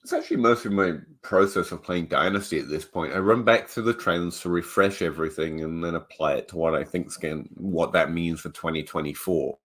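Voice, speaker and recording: A man hosting a fantasy football podcast, walking through stats in a measured way with dry humor, his nose a little stuffed up. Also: deep voice, a bit bright. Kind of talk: analytical podcast talk.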